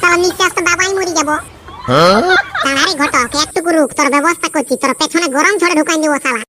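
A man's voice: very high-pitched, fast, crowd noise, noisy background. A man voicing a brash, crude, boastful young village bachelor in a cartoon comedy, bickering and cursing in a Purulia Bengali accent. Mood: indignant, mocking. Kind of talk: rustic cartoon comedy acting.

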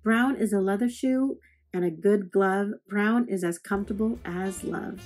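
Female reader for children's poetry: medium pitch, expressive and measured, plucked guitar music underneath. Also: low-quality recording. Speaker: read-aloud host.

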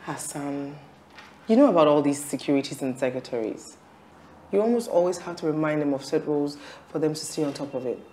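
An actress playing a defiant, calculating wife and mother in a screen drama, arguing with a sharp tongue. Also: sits low, brisk, a little hiss or hum, close to an everyday voice. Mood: evasive, defensive.

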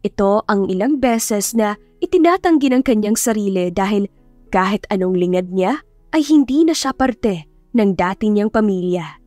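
A woman's voice: medium pitch, moderate pace, mellow, no room sound. A woman audiobook narrator reading a scripted romantic drama in a dramatic storytelling style. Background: background music.

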